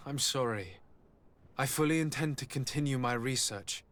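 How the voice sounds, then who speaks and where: An actor as an earnest, mid-pitched young hero. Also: male voice, fairly bright tone, lightly acted. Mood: earnest, reassuring. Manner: measured, sincere. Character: earnest, dutiful young nobleman hero, calm. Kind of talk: video game cutscene acting.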